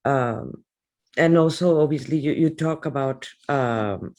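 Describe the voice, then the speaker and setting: A man's voice: on the high side, a little slow, a little mellow. A man hosting a podcast interview, asking questions while searching for words. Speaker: podcast host.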